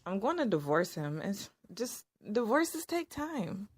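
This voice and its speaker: A woman's voice: mid-range voice, big pitch swings, measured pace, slightly rough, no room sound, clean studio sound. An actress playing a young married woman in an audio drama, narrating and talking in a wry, conversational way. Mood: earnest. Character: Iris, weary young married woman narrating her own story. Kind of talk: first-person audio drama narration and dialogue.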